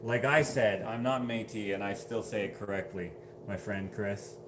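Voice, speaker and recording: A man's voice: lowish voice, moderate pace, noisy background. A man casually chatting on a livestream, reading viewer comments aloud and laughing often. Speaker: livestream host.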